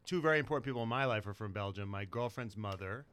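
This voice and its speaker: A man's voice: lowish voice, quick pace, a bit bright, clean tone. A man hosting a casual comedic interview, joking as he talks and often restarting his sentences. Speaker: podcast host.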